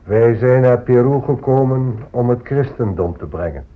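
An actor playing a calculating male explorer and commander in a radio drama, measured and formal. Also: mid-range voice, lively intonation, close to an everyday voice. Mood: formal, commanding. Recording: narrow, faintly noisy old radio recording.

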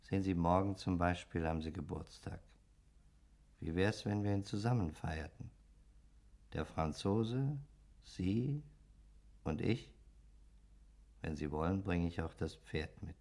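Polite ghost, low pitch, chatty, sardonic, radio play delivery. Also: male voice, unhurried, long pauses, a little mellow. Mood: softly menacing. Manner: courteous, chatty, mocking. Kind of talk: German radio play acting.

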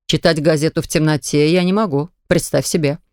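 A woman's voice: sits low, steady tone, brisk, no room sound, clean studio sound, voice pushed down, close to an everyday voice. A woman voicing an irritable, tired father who lectures his young son in a children's storybook. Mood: exasperated. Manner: stern, exasperated, lecturing. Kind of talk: audiobook character reading.

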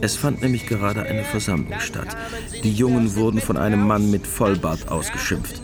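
A man narrating a radio drama from a script in a measured, matter-of-fact way. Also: fairly low pitch. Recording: music behind the voice.

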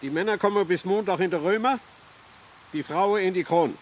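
An actor playing a brusque, pious provincial village mayor in an audio drama. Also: male voice, high-pitched, normal speed, slight background noise, lightly acted.

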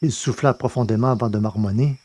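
Male audiobook narrator, mid-pitched, measured and steady, podcast novel reading. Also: husky, no room sound. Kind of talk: scripted narration of a science-fiction novel.

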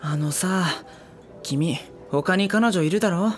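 A woman's voice: lowish voice, slow pace, faint music behind. A woman voicing a mild, put-upon teenage schoolboy who narrates and answers politely, with dry, slightly exasperated remarks. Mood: wry. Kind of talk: studio audio drama.

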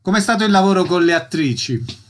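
A man's voice: sits high, animated, a little fast. A man hosting a radio show, reading introductions and putting short questions to guests. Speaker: radio interviewer and host.

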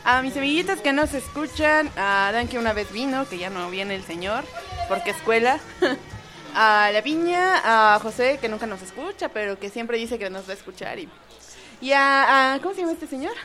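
Una mujer joven con acento mexicano conduce un programa de radio con charla casual y juguetona, mandando saludos. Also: female voice, a little high, animated, moderate pace, pushed and bright. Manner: chatty, playful, giggly. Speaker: radio co-host. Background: music.

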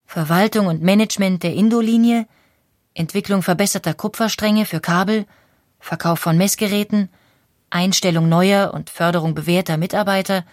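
A woman's voice: medium pitch, steady tone, moderate pace, darkish tone, no echo, studio quality. A woman narrating a historical audio documentary from a script in a factual, articulate manner.

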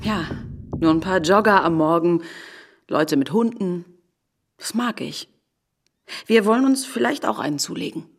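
A woman's voice: fairly low pitch, expressive, a little slow, gravelly, pauses often, exaggerated voice. A woman acting a wary, sardonic city woman in an audio drama, with a naturalistic, dryly ironic delivery. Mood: wary, polite.

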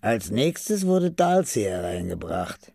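A man narrating a scripted radio crime drama in a measured, matter-of-fact way. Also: fairly low pitch, very animated, vocal fry.

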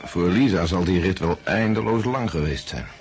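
Radio play narrator: wry male storyteller, deep low voice, clear music underneath. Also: lively intonation, normal speed. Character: male storyteller recounting a romance to friends. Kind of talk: dramatised storytelling in radio drama.

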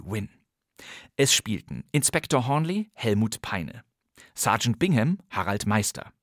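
A man's voice: lowish voice, no echo, studio quality. A man working as a radio drama announcer, reading scripted credits formally with careful enunciation.

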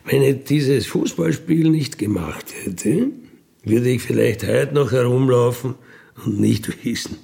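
Slow, gravelly Austrian man in his fifties. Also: mid-range voice, mellow. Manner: colloquial, matter-of-fact, often tags statements with a questioning "ne?". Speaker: career criminal telling his life story. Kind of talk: unscripted personal storytelling.

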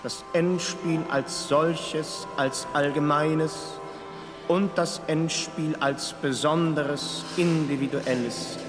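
An actor playing a solemn reciter who speaks absurdist theatre text deliberately in a radio play. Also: male voice, on the high side, steady tone. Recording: long reverb, music behind.

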